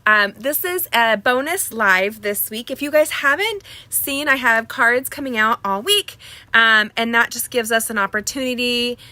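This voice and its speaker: A woman's voice: a little high, measured pace, bright, forceful tone, no echo. A middle-aged woman hosting a live craft class, chatting casually with viewers, greeting them by name, with plenty of filler words. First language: Spanish.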